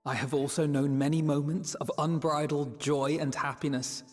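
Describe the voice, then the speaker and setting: A man playing an earnest, eloquent young scholar in a video game, speaking in formal, measured, poetic phrases. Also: on the high side, fairly even intonation, a little mellow, natural voice. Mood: solemn, hopeful. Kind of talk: scripted narration and dramatic dialogue. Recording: long reverb, music behind.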